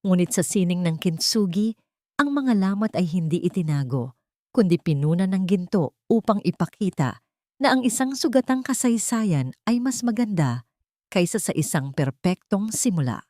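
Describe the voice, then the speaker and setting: A woman narrating a melodramatic audio story in a scripted storytelling style. Her delivery is expressive, and her pitch swings up and down for emphasis. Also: normal speed, no room sound, clean studio sound.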